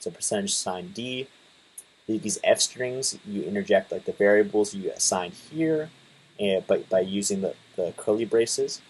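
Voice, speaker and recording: A man in his twenties casually talking through a coding tutorial, relaxed, with lots of fillers and restarts. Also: on the low side, normal speed, breathy edge, poor audio. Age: 20s. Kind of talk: casual screen-share tutorial.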